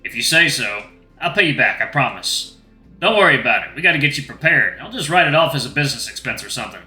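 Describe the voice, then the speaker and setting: A man's voice: medium pitch, animated, moderate pace, bright, forceful tone. A man voicing a protective, secretive male companion in a visual novel, reassuring and matter-of-fact. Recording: roomy echo with music behind.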